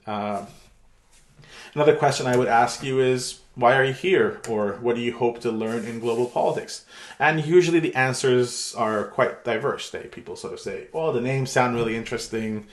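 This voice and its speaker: A middle-aged man from Turkey giving a pre-recorded online university lecture in a relaxed, chatty way with many fillers. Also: fairly low pitch. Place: home room. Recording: echoey room.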